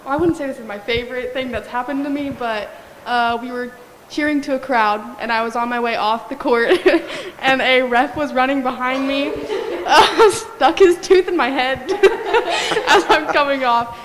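A woman's voice: sits high, unhurried, slight background noise. A teenage cheerleader telling a story casually, laughing as she talks. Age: teens. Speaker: cheerleader guest. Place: large classroom.

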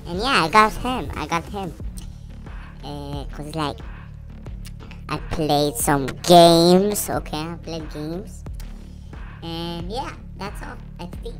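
A woman's voice: lowish voice, slow pace, pauses often. A non-native English-speaking woman giving casual, rambling, sweary gameplay commentary, repeating phrases, her voice run through a voice changer. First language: not English. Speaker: gamer playing and commenting. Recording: music playing behind the voice. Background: loud game music and sounds.

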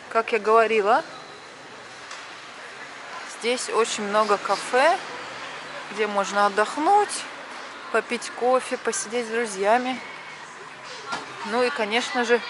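Lady vlogging from a night street, stretches her words, traffic noise around. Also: on the high side. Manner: chatty, drawn-out vowels, stress on last syllables. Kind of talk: walking vlog narration. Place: city street at night, outdoors. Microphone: action camera at arm's length.

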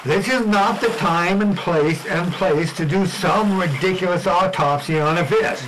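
A man's voice: high voice, measured pace, noisy background. A man playing a blustery, dismissive small-town mayor in a radio drama spoof. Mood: insistent. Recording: music behind the voice.

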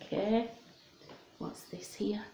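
A woman's voice: medium pitch, fairly even intonation, slow pace, mellow, slight background noise. A woman, presenting storytime and reading a picture book aloud to children in simple, questioning, child-directed talk. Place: small room at home, indoors. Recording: roomy, echoey home recording.

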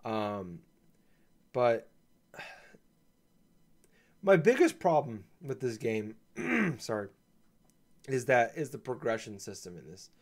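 A laid-back man chatting on a gaming podcast, his voice slightly gravelly as if he is coming down with a cold. He is mid-pitched and hesitant, full of ums and false starts. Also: sing-song, takes it slowly, long pauses, darkish tone. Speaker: podcast co-host. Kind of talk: casual gaming chat.

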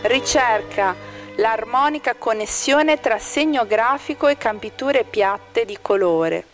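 A woman's voice: fairly high pitch, animated, faint music behind. Woman reading formal art commentary. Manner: measured, formal reading of critical text. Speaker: exhibition narrator. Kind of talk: scripted art-critic narration.

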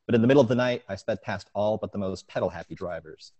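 Low-voiced young man, author. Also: fast talker, mellow, muffled recording. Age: young adult. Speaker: author reading from his short story. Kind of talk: informal talk and story reading at an online event. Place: living room at home, video call. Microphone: wired earphone mic on a video call.

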